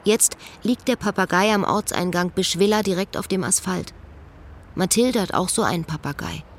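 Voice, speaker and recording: Pensive, wry female storyteller, lowish voice. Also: moderate pace. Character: melancholy, wry woman narrating her own story. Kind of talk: literary radio play, inner monologue and dialogue.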